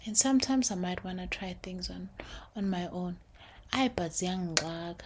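A woman hosting a podcast, speaking softly and calmly in a reflective faith talk, slipping between English and Sesotho phrases. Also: lowish voice, moderate pace, a little hiss or hum. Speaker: podcast host.

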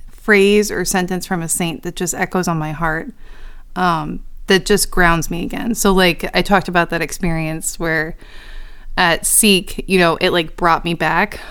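A woman's voice: lowish voice, fairly even intonation, a little slow, a bit bright, clear, resonant tone. A young woman co-hosting a faith podcast, talking in an earnest, encouraging way. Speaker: podcast co-host. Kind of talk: casual chat.

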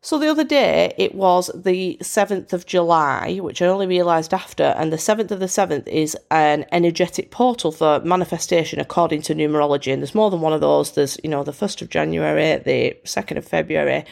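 A woman's voice: sits low, creaky, darkish tone. A British woman hosting a solo podcast, chatting in an upbeat, self-deprecating way with a bunged-up, congested voice. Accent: British English. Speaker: podcast host. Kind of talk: casual solo podcast chat.

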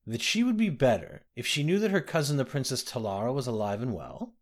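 A man's voice: mid-range voice, animated, brisk, natural voice. A man reading the part of an injured, exhausted young woman who speaks carefully and guardedly. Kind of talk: audiobook character reading.

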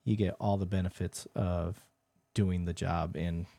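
A man's voice: deep voice, level tone, a little slow, vocal fry, warm tone, no echo. A man talking casually as an interviewer, swapping stories in an informal, conversational way. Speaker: interviewer, Air Force veteran. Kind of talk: casual chat.

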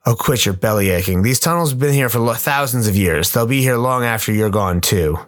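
A man's voice: medium pitch, expressive, fairly quick, soft background music, lightly acted. A man playing a curt, weary cook who impatiently leads a dangerous expedition in a radio play. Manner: bossy, impatient. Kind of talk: radio play character acting.

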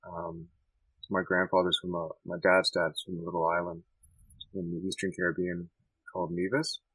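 A Canadian man answering interview questions in a thoughtful, halting way with many restarts. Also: low voice, little pitch movement, fairly bright tone, slightly rough, dry recording, low-quality recording. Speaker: musician guest.

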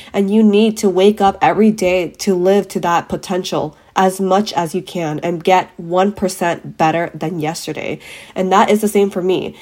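A woman hosting a podcast, her voice a bit raspy from a cold coming on, giving an earnest, emphatic motivational monologue to her listeners. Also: medium pitch, darkish tone. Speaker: podcast host.

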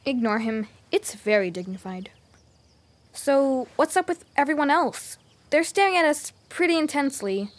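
A woman plays a curious, friendly girl in a children's adventure audio drama. The voice is high and very animated, with earnest, chatty phrasing. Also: normal speed.

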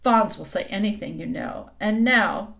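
A woman's voice: medium pitch, a little slow, vocal fry. A woman voicing a scheming queen who coaxes a boy with sweet, sly promises. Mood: coaxing. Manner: coaxing, silky, suddenly sharp. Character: sweetly scheming cold queen, tall and commanding.

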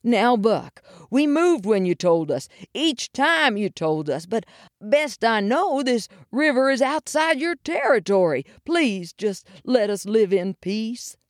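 A woman's voice: fairly high pitch, sing-song, slow pace, no room sound, clean studio sound, voice pushed up. A woman voicing a meek, peaceable muskrat father in a western storybook, pleading in folksy talk.

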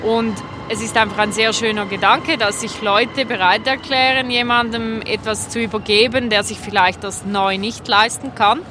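A woman's voice: a little high, fairly even intonation, normal speed, few pauses. A woman with a Swiss accent giving spontaneous, reflective answers as a street interviewee. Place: outdoors on an urban street. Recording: clear traffic noise behind voice.

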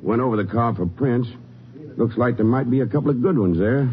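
An actor playing a sober, plain-spoken county sheriff with folksy phrasing in a 1950s radio drama. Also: male voice, medium pitch, a little fast, lightly acted. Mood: grim, helpful. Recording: dull, faintly noisy old radio recording.

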